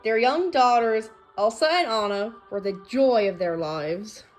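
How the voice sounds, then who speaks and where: A woman reading a children's storybook aloud in an expressive storytelling manner. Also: fairly high pitch, a little slow, soft background music. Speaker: storybook reader.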